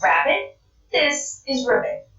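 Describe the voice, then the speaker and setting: A woman acts out a friendly, literal-minded robot from a children's storybook. The speech is slow and formal, yet the pitch moves up and down as it politely explains. The room echoes slightly. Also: sing-song, bright, forceful tone, distant mic, lo-fi sound, heavily acted. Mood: matter-of-fact. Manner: formal, measured, precise. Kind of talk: children's read-aloud character voice.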